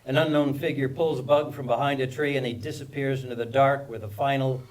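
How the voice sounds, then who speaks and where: A man's voice: medium pitch, steady tone, brisk. A man narrates screenplay action at a live reading, picked up from a little distance. His voice is mid-range, and he delivers the scene headings and directions as scripted narration. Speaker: stage-direction reader. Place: live table read at a film festival.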